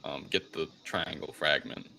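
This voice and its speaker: A man co-hosting a podcast, talking through a review casually and haltingly, as if thinking aloud. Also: very deep voice, fairly even intonation, creaky, bright, forceful tone, low-quality recording. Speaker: podcast co-host. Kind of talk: casual movie review chat.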